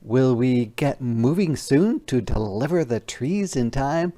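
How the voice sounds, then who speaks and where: A man's voice: medium pitch, animated, slow, dark tone. A man voicing a hopeful, eager little female sleigh from a storybook in an earnest way.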